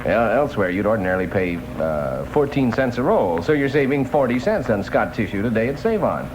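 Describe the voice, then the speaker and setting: A man's voice: mid-range voice, sing-song, a little fast, background noise. A male disc jockey delivering folksy, joking Top 40 patter on a vintage radio broadcast. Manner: wisecracking, folksy, joking asides. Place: radio studio.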